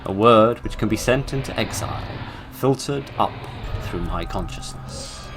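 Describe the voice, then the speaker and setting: A man's voice: on the low side, lively intonation, a little slow, creaky, music in the background. A British man giving casual commentary on a game and reading its story aloud, with dry, tongue-in-cheek humour. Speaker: gamer narrating a horror game playthrough.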